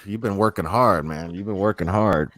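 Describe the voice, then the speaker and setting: Young male streamer, very low voice, dry close mic, loose rambling delivery. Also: normal speed, a bit bright, no echo. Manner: rambling, repeats words, swears casually. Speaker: livestream host. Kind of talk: casual livestream chat.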